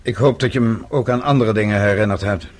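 An actor playing a vengeful middle-aged father in a radio play, speaking in a measured, deliberate and formal way. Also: on the low side, natural voice. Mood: guarded, composed. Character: vengeful father, composed, coldly methodical older man.

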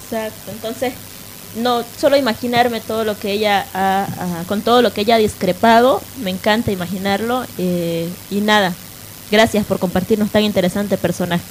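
A woman's voice: medium pitch, fairly even intonation, moderate pace. Woman chatting on radio, noisy background. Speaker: radio show co-host. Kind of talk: informal radio discussion.

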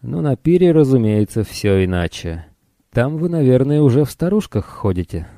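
A man's voice: mid-range voice, big pitch swings, normal speed, dark tone, dry recording, clean studio sound, voice pushed up. A man voicing a wry, teasing, roguish space traveller in a science fiction audiobook.

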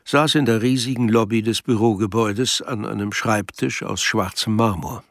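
A man's voice: fairly low pitch, steady tone, normal speed, vocal fry, no echo, clean studio sound. A man narrating a literary radio drama from a script. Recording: loud music behind the voice.

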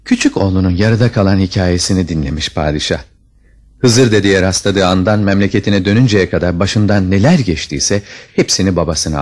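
A man's voice: low voice, husky. A man narrating a scripted fairy tale as a storyteller, with dramatic expression.

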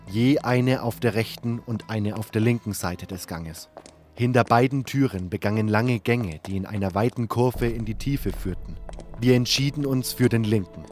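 A man's voice: fairly low pitch, lightly acted. A man playing a serious hero who narrates his own fantasy adventure in an audio drama. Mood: measured. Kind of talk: scripted narration. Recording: music bed behind.

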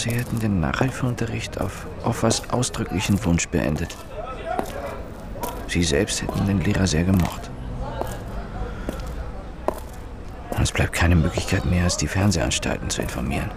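An actor in a German radio drama playing a dogged, irritable police inspector, sceptical and halting in his arguing. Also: low-pitched, creaky, long reverb tail, crowd noise. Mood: brooding.

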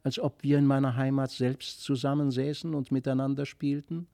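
A man voicing a mysterious, gentle fairy child in an earnest, lyrical way for a storybook reading. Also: medium pitch, little pitch movement, normal speed, gravelly, no room sound, studio quality, natural voice. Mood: gentle, reassuring. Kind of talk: literary audiobook reading.

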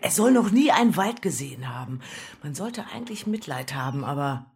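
An actress playing a worldly, bitter streetwalker in a radio play, speaking in a knowing, matter-of-fact, sardonic way. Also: female voice, low-pitched, big pitch swings, brisk, gravelly, a little mellow, breathy edge, heavily acted. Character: worldly, bitter streetwalker taking in a younger girl. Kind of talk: German radio play acting.

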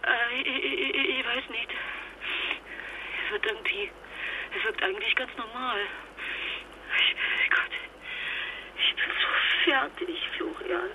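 An actress playing a fragile, exhausted wife and mother stranded abroad, speaking haltingly in a naturalistic radio drama through a telephone filter. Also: on the high side, animated. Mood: despairing, tearful. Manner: halting, stammering, emotionally fragile. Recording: noisy muffled phone-line sound.

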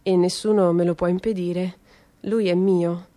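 A woman performing a dramatic literary reading for radio theatre, theatrical and emphatic, moving between quiet asides and louder bursts. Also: on the low side, steady tone, unhurried. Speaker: stage reader performing a literary monologue.

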